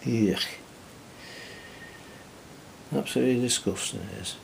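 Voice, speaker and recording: A man's voice: mid-range voice, fast, gravelly, heavy background noise. A man casually narrating as he cleans parts of an old tape deck, muttering an easygoing running commentary. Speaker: hobbyist repairing a reel-to-reel deck.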